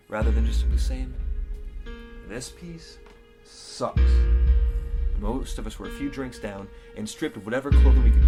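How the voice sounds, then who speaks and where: A man's voice: medium pitch, natural voice. A man acting as a snide, defensive student editor who narrates in a wry, wordy, mock-earnest way for a satirical radio play.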